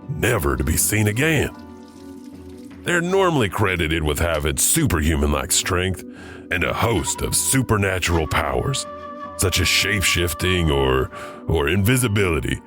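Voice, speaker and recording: Male horror narrator, deep and expressive, measured pacing, soft music bed. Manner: measured, storytelling. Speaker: narrator host.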